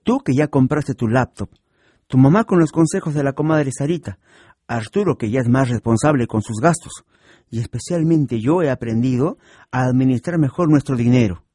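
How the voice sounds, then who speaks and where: A man's voice: medium pitch, moderate pace, mellow, dry recording, clean studio sound, close to an everyday voice. An actor with a Peruvian accent playing a contented, hardworking middle-aged father who runs a family shop in a radio soap. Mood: earnest. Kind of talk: educational radio soap acting.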